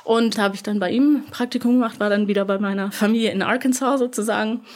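A woman's voice: medium pitch, fairly even intonation, fast talker, darkish tone. A young woman talking casually as an interview guest, chatty, with many fillers and laughs.

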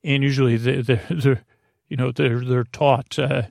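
Male sleep-podcast host: gravelly mid-range voice, whimsical meandering delivery, hesitant and digressive, dry close sound. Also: medium pitch, steady tone, measured pace, darkish tone, no echo. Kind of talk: rambling bedtime monologue for a sleep podcast.

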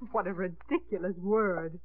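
A woman's voice: mid-range voice, slow. An actress playing a refined widowed mother, fluttery, polite and hesitant, in a 1950s radio drama. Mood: airy. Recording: noisy, narrow 1950s radio broadcast.

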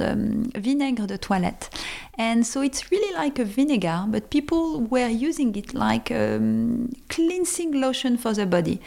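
A woman's voice: a little high, creaky, a little mellow. A woman with a French accent, a native French speaker, answering interview questions conversationally and discursively, searching for words in English. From France, born in Lebanon. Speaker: brand co-founder, interview guest.

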